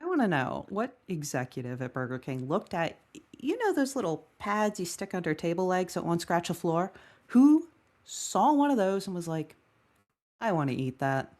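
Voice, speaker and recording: Woman playing a sardonic, animated, griping woman. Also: on the low side, moderate pace. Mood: exasperated ranting. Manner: sardonic, swearing, exaggerated griping. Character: Lucretia Evans, wry, griping, weary woman football-game player. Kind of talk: conversational table read, audio drama.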